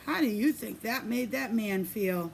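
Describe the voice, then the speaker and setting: A woman preschool teacher reading a picture book aloud in an expressive, sing-song way, pausing to put questions to young children. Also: a little high, gravelly, slight background noise.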